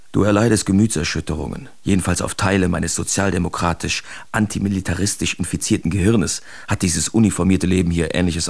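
Gravelly, sardonic bohemian letter writer. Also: male voice, on the low side, a little mellow, no room sound. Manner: theatrical, deliberate, ornate diction with pointed emphasis. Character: sardonic, bohemian male letter writer, wry and self-absorbed. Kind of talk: literary radio drama, dramatic reading of letters.

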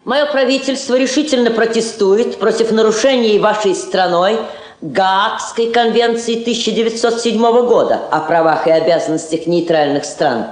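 A woman's voice: medium pitch, normal speed, a little mellow, reverberant, natural voice. An actress playing a firm, sardonic Soviet woman ambassador in a radio drama, speaking formally with dry irony. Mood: stern protest. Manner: formal, declamatory, drily ironic.

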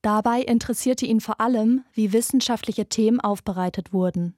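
A woman's voice: a little high, a little fast, a little mellow, no echo, clean studio sound. A woman presenting a podcast in scripted documentary narration.

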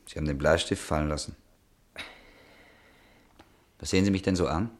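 An actor playing a curt, menacing stranger at the door in a German radio play, speaking in an eerie, threatening way. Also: male voice, extremely low pitch, moderate pace. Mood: quietly threatening.

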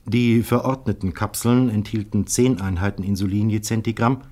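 Male actor as Dutch police inspector, radio crime drama, lowish pitch, courteous, measured, shrewd, formal. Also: steady tone, a little mellow, close to an everyday voice. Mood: explaining, regretful. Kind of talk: 1970s German radio play acting.

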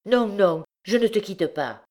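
A woman's voice: sits low, measured pace, darkish tone. A woman voicing a desperate working-class mother, horrified and fiercely defiant, in an exclamatory, pleading way. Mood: resolute, pleading.

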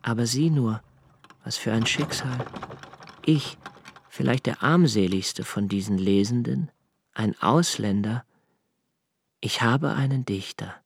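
An actor playing a sensitive, impoverished young poet, speaking an introspective, deliberate monologue in a radio play. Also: male voice, mid-range voice, creaky, husky, dry recording, exaggerated voice. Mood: proud, self-justifying. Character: sensitive, brooding, poor young foreign poet and diarist. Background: music.

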